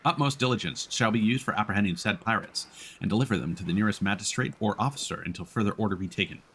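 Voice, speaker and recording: A man's voice: fairly low pitch, fast, a bit bright, slight background noise. A man streaming a video game, giving casual live commentary as he plays.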